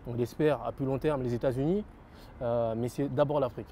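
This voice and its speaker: A man's voice: medium pitch, fairly even intonation, a little fast, warm tone, a little hiss or hum. A young French man giving earnest interview answers about his rap label, repeating phrases and using many fillers. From France.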